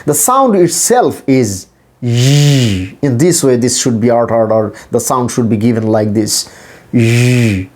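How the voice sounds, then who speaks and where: Man, Bangladeshi accent, native Bengali speaker, very slow delivery, mid-pitched, deliberate repetitive pronunciation teacher. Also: lively intonation, noisy background. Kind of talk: instructional pronunciation lesson.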